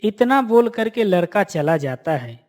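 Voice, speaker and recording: Storyteller with a mid-pitched voice. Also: very animated, measured pace, darkish tone. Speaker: narrator. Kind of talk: scripted storytelling narration.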